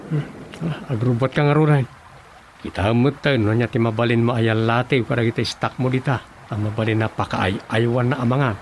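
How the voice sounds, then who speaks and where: A man's voice: medium pitch, fairly even intonation, brisk, lightly acted. A man with an Ilocano accent playing an easygoing, grumbling village husband in a radio comedy drama, on a noisy recording. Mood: grumbling banter. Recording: noisy recording with background ambience.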